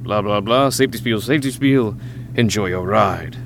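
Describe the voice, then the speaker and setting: An actor playing a bored, deadpan film star stuck working as a bellhop in a comedic audio drama. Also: male voice, lowish voice, moderate pace, gravelly, background noise. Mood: bored, flat. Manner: deadpan, indifferent.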